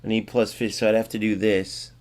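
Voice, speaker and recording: A man with a somewhat low voice hosts a gaming channel in a loose, chatty way. He talks straight to his viewers as guys and thinks aloud, working through numbers under his breath. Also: flat delivery, fast. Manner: thinks aloud, muttering sums to himself. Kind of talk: casual game commentary.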